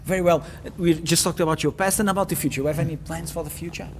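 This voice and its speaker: A man's voice: on the high side, fairly even intonation, fast talker, a little hiss or hum. A man hosting an interview, asking questions hesitantly with many fillers and a habit of saying "okay, very well.". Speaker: interviewer, host.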